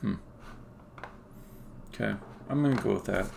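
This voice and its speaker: A man live-streaming game development, thinking aloud in a casual, halting way as he works, often muttering to himself. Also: on the low side, vocal fry, a little mellow. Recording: faint noise, keyboard typing and clicks. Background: computer keyboard typing and mouse clicks.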